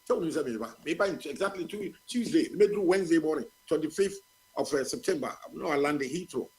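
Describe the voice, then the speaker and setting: A man's voice: a little high, expressive, fast talker, darkish tone, rough recording. A Ghanaian man in his fifties with a Ghanaian accent, talking casually on a radio discussion, mixing English and Twi, repeating and restarting his words.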